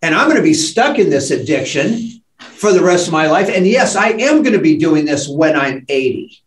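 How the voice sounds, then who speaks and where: Man, late sixties, podcast co-host, candid, halting with restarts, fairly high voice, roomy echo. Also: sing-song. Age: late 60s. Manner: halting, full of restarts and frank swearing. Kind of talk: candid conversational podcast talk.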